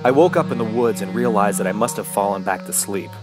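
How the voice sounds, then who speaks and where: An actor playing an injured, desperate man trapped in the woods, narrating a horror radio drama in a halting, dramatic way. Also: on the low side, lively intonation, natural voice. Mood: dazed, weak. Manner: dramatic, halting, with anguished outbursts. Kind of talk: first-person horror radio drama monologue. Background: music.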